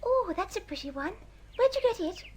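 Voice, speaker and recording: A woman's voice: extremely high pitch, big pitch swings, a little fast, a little mellow. A woman playing a timid nine-year-old girl in a radio drama, nervous and easily frightened. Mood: doubting, teasing. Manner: nervous, hesitant.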